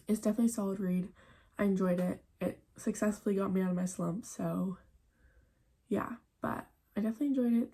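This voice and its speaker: A woman's voice: medium pitch, mellow. A young woman chatting casually at home in a book vlog, full of "like" and "um" fillers. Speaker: book vlogger. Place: at home in front of a bookshelf.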